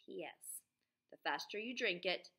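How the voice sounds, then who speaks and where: A woman with a bright, clear-cut voice reads a children's book aloud in a teacher's manner. She is expressive and breaks off often to explain things simply or put questions to her young listeners. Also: on the low side, measured pace.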